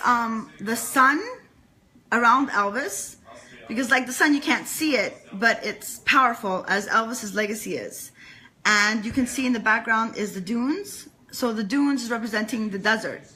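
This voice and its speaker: Bright, high-voiced woman, earnest and emphatic. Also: moderate pace, low-quality recording. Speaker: interview guest, fan club leader. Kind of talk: enthusiastic casual interview chat.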